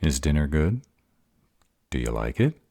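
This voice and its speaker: A gruff were-dragon in love, acted by a man, speaks in an extremely low, dark voice. He is earnest and easily flustered, hesitating over tender words in an intimate romantic roleplay, with lively swings of pitch. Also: unhurried, no room sound, full character voice. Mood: earnest, caring. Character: gruff, bashful male were-dragon lover in human form. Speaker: voice actor in roleplay audio.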